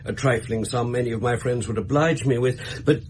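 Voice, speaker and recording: A man's voice: mid-range voice, normal speed, a little mellow, slight background noise, natural voice. An actor playing a lofty Victorian nobleman in a radio drama, polite but condescending and clipped. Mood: lofty, assured. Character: suave, lofty, commanding Victorian nobleman client.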